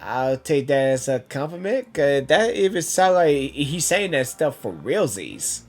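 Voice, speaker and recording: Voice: medium pitch, very animated, a little slow, a little mellow. A gaming streamer reacting casually and playfully to a game, repeating phrases and talking back to it. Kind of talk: casual excited gameplay reaction commentary. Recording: game music behind the voice.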